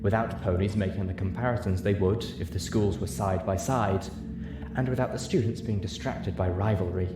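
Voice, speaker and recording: A man voicing a regal, gentle older pony princess, a stately and affectionate big sister in a story reading. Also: on the low side, fairly even intonation, moderate pace, over music, lightly acted. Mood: reassuring. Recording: echoey room with clear background noise.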